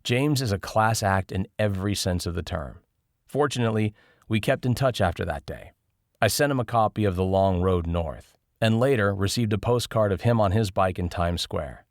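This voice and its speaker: A man's voice: fairly low pitch, moderate pace, no room sound, clean studio sound. A man reading a first-person travel memoir as scripted audiobook narration.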